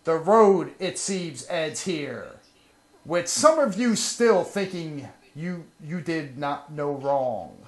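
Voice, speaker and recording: A man voicing an overdramatic ham actor in a grave, halting comedy reading with heavy pauses. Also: fairly high pitch, animated, roomy sound. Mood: grave, melodramatic. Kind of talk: mock-dramatic email reading.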